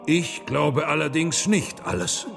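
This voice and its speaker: An actor playing a determined, probing monk who investigates a crime in a historical radio drama, in a gravelly voice. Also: male voice, on the high side, a little slow, a bit bright, full character voice. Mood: probing, stern. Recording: music behind the voice.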